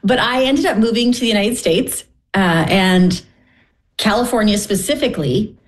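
A middle-aged woman from Canada telling personal stories as an interview guest, candid and gently self-deprecating. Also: mid-range voice, moderate pace. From Winnipeg, Canada. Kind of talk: personal storytelling in conversation.

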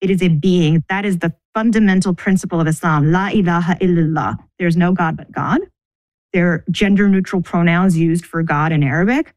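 A middle-aged woman from the United States, talking thoughtfully about her upbringing as an interview guest, pausing and restarting as she thinks. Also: fairly low pitch, a little mellow, no room sound, low-quality recording. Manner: thoughtful, measured, restarts mid-sentence. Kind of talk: reflective personal interview.

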